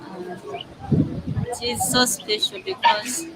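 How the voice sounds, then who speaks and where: A young Zambian woman, a newly professed religious sister, speaking softly and slowly in an outdoor interview. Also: on the high side, level tone, heavy background noise. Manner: slow, soft-spoken, measured. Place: outdoors at a celebration. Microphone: masked, speaking softly. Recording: outdoor live stream with loud crowd behind.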